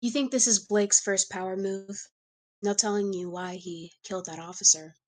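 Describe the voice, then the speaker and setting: A woman's voice: medium pitch, steady tone, normal speed, natural voice. A woman playing a ruthless, controlling wife and mother in a crime family, commanding and insistent, at a script table read. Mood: cold, insistent.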